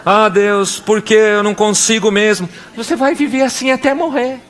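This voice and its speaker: A young Brazilian man with a Brazilian Portuguese accent preaching a sermon as pastor, animated, acting out little scenes and prayers in different voices. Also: high-pitched, normal speed.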